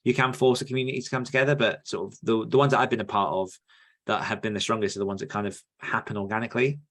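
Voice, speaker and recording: A man with a fairly low voice hosts a podcast. He talks informally and rambles with enthusiasm, keeping it loose and conversational. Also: steady tone, rapid-fire, a bit bright. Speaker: podcast host. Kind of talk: casual podcast chat.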